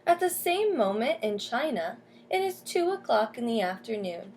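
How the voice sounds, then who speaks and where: A woman with a fairly high voice reads a children's storybook aloud like a teacher. She steps out of the text to explain things and put questions to young listeners. There is faint noise behind her. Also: very animated, normal speed, darkish tone. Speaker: teacher reading a picture book aloud.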